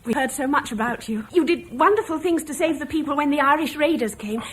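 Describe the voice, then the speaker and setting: An actress playing a candid, gentle young Roman farmer's daughter in a radio drama. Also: female voice, sits high, a little fast. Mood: admiring. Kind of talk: British radio drama acting.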